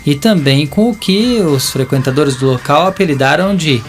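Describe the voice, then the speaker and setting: Male Brazilian narrator, theatrical audiobook drama delivery, slightly high voice, music bed. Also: expressive, moderate pace.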